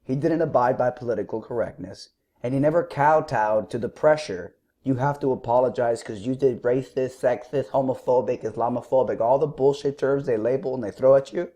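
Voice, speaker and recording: A man with a sore throat giving combative, scornful political commentary, clearing his throat now and then. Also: medium pitch, brisk, warm tone. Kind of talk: opinionated commentary.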